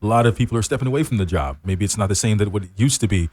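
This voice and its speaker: A man's voice: lowish voice, animated, rapid-fire, a little mellow. A man hosting a casual studio interview, talking informally and with effusive encouragement to his guests. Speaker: talk show host. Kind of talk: casual radio interview chat.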